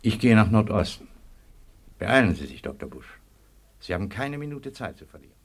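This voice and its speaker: A resolute camp commander who gives orders firmly and quickly. His voice sits low and sounds steady in a radio play. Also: slightly rough, full character voice. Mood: urgent, commanding. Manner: firm, commanding, urgent.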